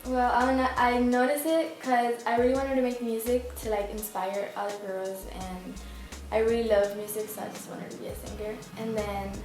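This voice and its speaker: A young woman from Spain answers interview questions in a soft, laid-back way, dropping filler words as she goes. Her voice sits fairly high. The room rings with strong echo, and faint music plays underneath. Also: little pitch movement, normal speed. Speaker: singer, interview guest. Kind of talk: casual interview chat.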